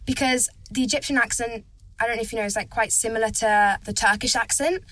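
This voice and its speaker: A woman's voice: fairly high pitch, fast, bright, forceful tone, a little hiss or hum, rough recording. A teenage girl, a young actor, chatting eagerly as a guest in a casual interview, with lots of "like". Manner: chatty, eager, says "like" a lot.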